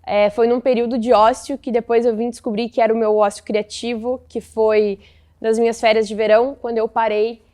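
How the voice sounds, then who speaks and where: Higher-voiced thoughtful woman, 19. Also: flat delivery, a little fast, dark tone. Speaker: co-creator of a photo book. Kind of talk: interview answers.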